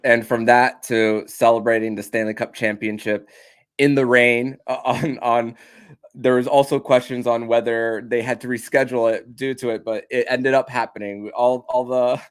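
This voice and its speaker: A man's voice: mid-range voice, level tone, moderate pace, clear, resonant tone. This is a man with a mid-range voice hosting a casual podcast interview. His questions run long and wander, with frequent restarts and repeated words. The tone stays conversational throughout. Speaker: podcast host.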